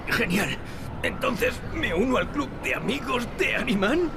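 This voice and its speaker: An actor with a Castilian Spanish accent playing a cocky, wisecracking young adventurer in a video game. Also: male voice, very high voice, big pitch swings, a little slow, gravelly, heavily acted. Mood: sarcastic. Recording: game music behind the voice. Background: game music.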